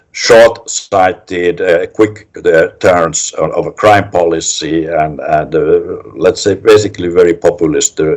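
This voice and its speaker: Older Finnish-accented male academic, lowish voice, hesitant guest answers. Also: darkish tone. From Finland. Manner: halting, many restarts and fillers. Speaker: criminology professor, guest.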